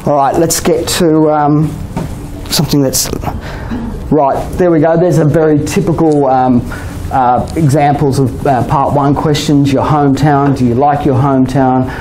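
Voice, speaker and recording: A man's voice: fairly high pitch, fairly even intonation, normal speed, dark tone, a little hiss or hum, poor audio. Australian man teaching a chatty class. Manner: chatty, many "okay?" and "you know?" tags, laughs often. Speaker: IELTS teacher. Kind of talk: classroom lecture.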